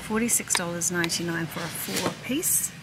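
A woman's voice: lowish voice, moderate pace. A woman, chatting to the camera while shopping and reading out prices as she goes. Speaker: vlogger. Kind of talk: shop-with-me vlog narration. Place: large warehouse store, indoors. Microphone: handheld phone camera. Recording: noisy warehouse store ambience. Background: store ambience.

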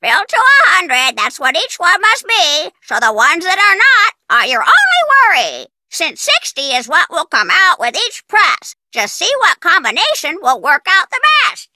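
A woman's voice: very high-pitched, huge pitch swings, measured pace, hardly any pauses, sharp tone, no echo, exaggerated voice. A woman voicing a chirpy riddling creature that gives rhymed hints in a video game, sing-song with exaggerated emphasis. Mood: chirpy, teasing.

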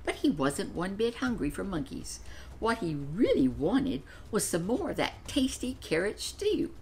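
An older woman in her seventies reading a children's picture book aloud in an expressive storytelling style. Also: mid-range voice, a little slow, slight background noise, poor audio. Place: furnished living room, seated in an armchair.

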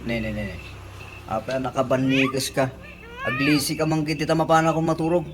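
A man's voice: mid-range voice, level tone, brisk, over music, close to an everyday voice. A man playing a gossipy, defensive middle-aged village father in an Ilocano comedy radio drama, with a Filipino Ilocano accent.

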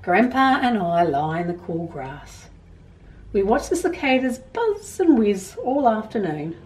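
Storytime presenter: middle-aged Australian woman, unhurried and highly animated, expressive delivery for children, slightly distant room mic. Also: a little hiss or hum. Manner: expressive, emphatic storytelling for young listeners. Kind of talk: picture-book reading and explaining to children. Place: small indoor room at home with bookshelves.

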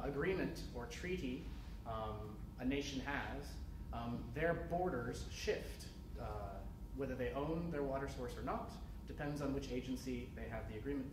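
A man giving a keynote academic lecture from a podium, measured and thoughtful, with frequent "um" fillers. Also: medium pitch. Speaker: keynote speaker. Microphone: podium mic heard from a distance. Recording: echoey lecture hall, distant and noisy.

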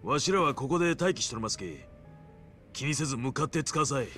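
Animated brash gangster with Hiroshima accent. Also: male voice, mid-range voice, brisk, soft background music. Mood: rough, respectful. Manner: swaggering, rough dialect. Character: brash, cocky regional yakuza gangster. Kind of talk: dramatic video game acting.

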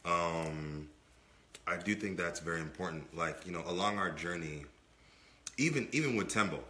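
A young man with a very low, forceful voice co-hosts a casual podcast. He sounds slightly under the weather and repeats points for emphasis. The room around him is echoey. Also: normal speed. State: under the weather, voice not fully clear. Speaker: podcast co-host. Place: indoor room with brick and wood-panel wall.

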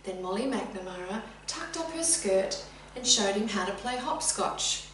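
A middle-aged woman reading a children's story expressively and deliberately, addressing young listeners directly. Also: medium pitch, expressive, fairly bright tone. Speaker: teacher reading a picture book. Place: indoors, sitting room with bookcase. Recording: very echoey room, distant mic, faint hum.

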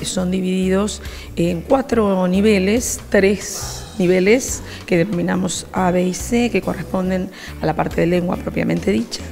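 A middle-aged woman with an Argentine accent, a program coordinator explaining the course in an interview, measured and pausing on "eh". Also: fairly low pitch, steady tone, gravelly, over music. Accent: Argentine Spanish. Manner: measured, with frequent "eh" fillers. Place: classroom with students behind. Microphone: handheld reporter mic.

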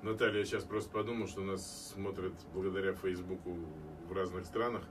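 A middle-aged male radio host with a lowish voice cuts in with quick questions and wry remarks. He is heard from across a small studio, with a steady hiss underneath. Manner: brisk interjecting questions, jokey asides. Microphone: headset and studio mic, captured by far camera. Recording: distant camera audio with background noise.

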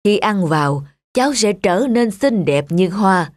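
A woman voicing a wise, kindly old male fairy with slow, measured speech in a cartoon fairy tale. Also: medium pitch, lively intonation, close to an everyday voice. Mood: wise, instructing. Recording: music under the voice.

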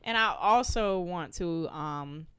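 Woman on a podcast, bright voice, real up and down, slangy casual chat, dry sound. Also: on the low side, lively intonation, slow, clear, resonant tone, no room sound. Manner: teasing, slangy. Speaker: podcast panelist. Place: small room at home, indoors. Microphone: close podcast mic.

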